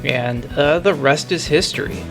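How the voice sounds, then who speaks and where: A man's voice: a little high, a little slow. A middle-aged man hosting a music podcast, talking in a conversational, enthusiastic and wordy storytelling way. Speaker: podcast host. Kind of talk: music podcast talk, retrospective commentary.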